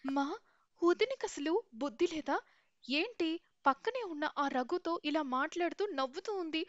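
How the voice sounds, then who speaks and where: A woman voicing a sharp-tongued young college girl in a cartoon story. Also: high-pitched, lively intonation, unhurried, dry recording, highs cut off, pitched above the performer's own voice. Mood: snide.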